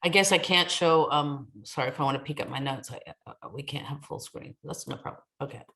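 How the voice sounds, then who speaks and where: An American woman giving an academic talk over a video call, thinking aloud with many pauses and ums. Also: low voice, fairly bright tone. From United States. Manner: thoughtful, hesitant, many ums. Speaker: academic guest speaker. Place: home in New Zealand.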